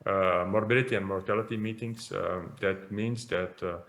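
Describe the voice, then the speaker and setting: Low gravelly Belgian-born man lecturing. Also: fairly even intonation, moderate pace, a bit bright. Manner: hesitant, many repeated words and fillers. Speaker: interventional cardiologist lecturer. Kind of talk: conference lecture.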